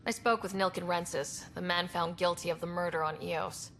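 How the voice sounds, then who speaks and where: Casting: a sympathetic, determined young explorer hero in a game, slightly low, creaky, plain-spoken. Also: female voice, level tone, moderate pace, fairly bright tone, a little hiss or hum. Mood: direct. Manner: earnest, direct. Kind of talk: video game cutscene acting.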